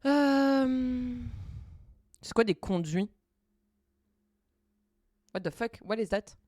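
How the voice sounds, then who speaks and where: Bright-toned woman coding on stream. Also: on the high side, animated, no echo, clean studio sound. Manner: thinks aloud, halting, many fillers and restarts; mixes in English coding terms. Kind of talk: casual live-stream chat while coding.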